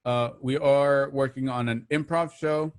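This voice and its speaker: A man hosting an online show, giving casual, slightly halting announcements and plugs to listeners. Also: medium pitch, steady tone, smooth. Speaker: host of an online radio drama show.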